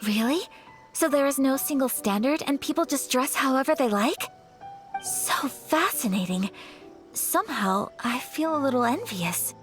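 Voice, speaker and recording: A woman playing a polite, composed young noblewoman in an anime video game, speaking in a gentle, formal, courteous way. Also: sits high, lively intonation, normal speed. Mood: wistful, curious. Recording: game music behind.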